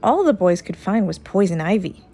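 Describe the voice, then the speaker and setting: A woman reading a children's story aloud as an expressive storyteller. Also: medium pitch, normal speed. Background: soft music.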